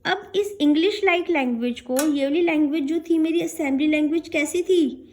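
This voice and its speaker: A woman's voice: high-pitched, normal speed, darkish tone, a little hiss or hum. A woman giving an explanatory lecture as a programming teacher, asking and answering her own questions with frequent check-in tags and mixing in English technical terms.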